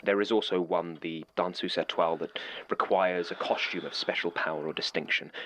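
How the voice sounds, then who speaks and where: A man playing a dry, measured archive director in an audio horror drama, speaking formally and precisely with wry understatement. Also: sits low, steady tone, fairly bright tone, low-quality recording. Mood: dryly explanatory. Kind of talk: audio drama acting, exposition.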